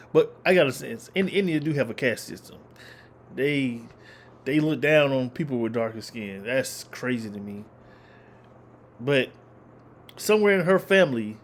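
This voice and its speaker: A man hosting a reaction video, talking casually and incredulously, often repeating himself. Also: fairly high pitch.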